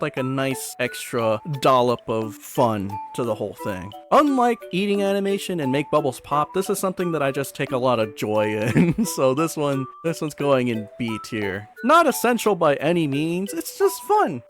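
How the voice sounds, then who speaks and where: A man giving casual, chatty, self-deprecating commentary as a video creator ranking things. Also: on the high side. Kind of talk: casual commentary over a tier list. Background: background music.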